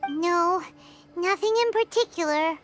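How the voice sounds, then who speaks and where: A woman voicing a small, excitable, childlike fairy companion in a video game, with a squeaky voice and chirpy manner. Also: a little slow. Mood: worried. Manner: chirpy, speaks of self in third person. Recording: game music behind the voice.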